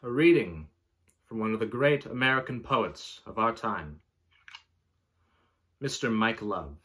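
A man in his twenties recites verse as if it were grand poetry, with theatrical pomp. His delivery is slow and deliberate, with wide swings in pitch and a bright, forceful tone. He is recorded in a bedroom. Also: animated. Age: 20s. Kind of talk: mock-dramatic poetry reading. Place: small bedroom, indoors.

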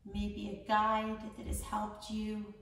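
Echoey, slow-paced woman guiding meditation. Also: mid-range voice, completely flat delivery, creaky, faint music behind. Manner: soothing, gentle, measured with long pauses. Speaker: meditation guide, founder of a yoga charity. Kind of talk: guided meditation. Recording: echoey room, distant mic, faint noise.

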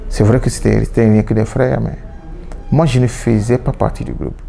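Gravelly-voiced man, restarts a lot. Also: lowish voice, steady tone, normal speed, mellow, soft background music. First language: Yoruba. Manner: reflective, with frequent restarts and repeated words. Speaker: musician. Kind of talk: interview answers. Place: indoor room, seated on a sofa.

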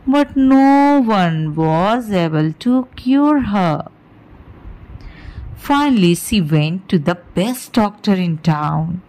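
A woman's voice: mid-range voice, a little mellow, rough recording. A woman narrating a scripted moral story for children as a storyteller.

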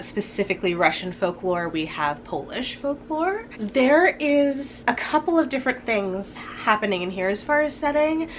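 A young woman talking to camera about books in a room lined with bookshelves, chatty and upbeat, her voice husky from a cold. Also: mid-range voice, a little hiss or hum. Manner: chatty, upbeat, laughs between sentences. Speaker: book vlogger. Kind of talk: casual book tag talk to camera.

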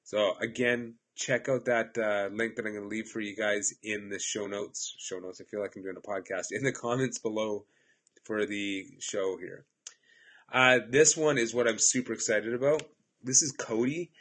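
A man's voice: fairly low pitch, normal speed, a bit bright. A man in his forties with a stuffed-up cold voice, enthusiastically explaining apps for electricians in a casual webcam talk from his home office. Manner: upbeat, enthusiastic, chatty. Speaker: electrical instructor. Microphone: laptop webcam at desk.

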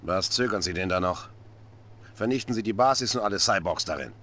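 A man's voice: lowish voice, a little fast, fairly bright tone, close to an everyday voice. A middle-aged man playing a stern, impatient Soviet dictator with a curt, commanding manner in a dubbed video game cutscene.

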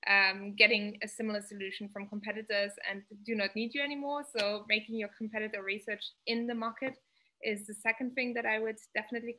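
Bright-voiced woman giving founder advice. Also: medium pitch. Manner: earnest, measured, many "um" pauses. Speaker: startup founder, panel guest. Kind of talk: advice talk on a video call panel. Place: indoor home room. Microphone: laptop webcam on video call.

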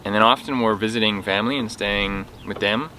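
Give me a calm young man explaining life on a boat. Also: lowish voice, fairly quick, slight background noise, low-quality recording. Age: young adult. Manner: calm, explanatory, dry quips. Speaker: sailing vlog co-host. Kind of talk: casual chat to camera. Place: outdoors in a sailboat cockpit at anchor.

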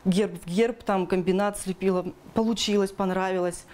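A woman's voice: mid-range voice, steady tone, normal speed, warm tone. A woman in her thirties explaining her work informally in an interview at home, retelling things with quoted asides. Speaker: interviewee, animation artist. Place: small room at home. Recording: clear ambient room noise.

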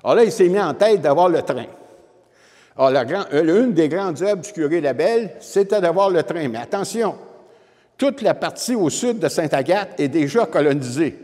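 A man's voice: fairly high pitch, lively intonation, moderate pace, a little mellow. A man in his seventies with a Quebec French accent gives an informal, explanatory history lecture to an audience through a handheld microphone. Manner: explanatory, addresses the audience directly. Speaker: historian giving a public lecture. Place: indoor lecture room with wood panelling. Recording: reverberant hall sound.

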